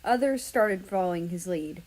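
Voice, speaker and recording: A woman's voice: lowish voice, normal speed, darkish tone, poor audio. A woman narrating a story as a fan fiction reader. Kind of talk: storybook-style narration with acted dialogue.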